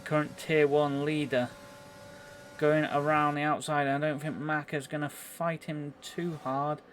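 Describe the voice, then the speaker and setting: A man giving live play-by-play commentary on an online racing game, a little halting as he thinks through the action. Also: a little high, little pitch movement, a little hiss or hum.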